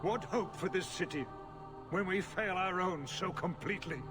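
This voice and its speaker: An actor playing a grieving middle-aged city ruler who speaks in a slow, broken way. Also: male voice, high voice, music in the background, lightly acted. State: grief-stricken.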